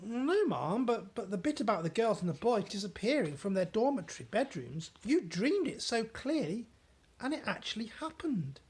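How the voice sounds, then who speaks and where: A woman's voice: mid-range voice, sing-song, moderate pace, a little mellow, breathy edge, voice pushed up. A woman voicing a fussy, loyal middle-aged maid who talks eagerly and deferentially while reading a storybook aloud. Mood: anxious.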